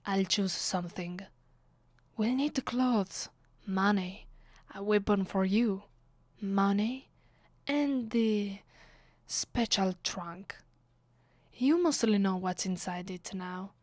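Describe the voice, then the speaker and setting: Woman acting a soft, slow, Italian-accented vampire noblewoman. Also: medium pitch, no echo, close to an everyday voice. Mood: commanding, intimate. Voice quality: soft, breathy, often dropping to a whisper. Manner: slow, dramatic, imperious. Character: aristocratic female vampire, centuries old, bitter and possessive. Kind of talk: ASMR roleplay, intimate scripted acting.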